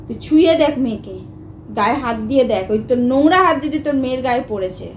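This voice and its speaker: High female voice, young, agitated, scolding, repeating herself, echoey room, faint noise. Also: animated, fast. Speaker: wife in a family prank video. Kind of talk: heated domestic argument. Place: indoor room at home.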